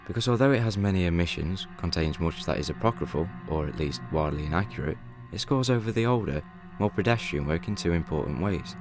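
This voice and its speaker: A young man playing a wry, all-knowing guidebook narrator in a radio play, with measured, deadpan delivery. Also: low-pitched. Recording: music bed under the voice.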